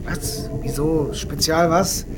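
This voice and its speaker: A man's voice: a little high, steady tone, slow. A man playing a grumpy, bewildered police officer, colloquial and sarcastic, in a radio drama. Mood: irritated, sarcastic. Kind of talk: naturalistic radio drama acting. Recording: clear background noise.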